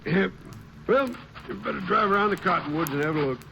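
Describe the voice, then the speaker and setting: A man's voice: high voice, expressive, fairly quick, creaky. An actor playing an older rancher and father in an old radio drama, talking in a folksy, easygoing way. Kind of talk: 1950s radio drama acting. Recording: old radio recording with hiss.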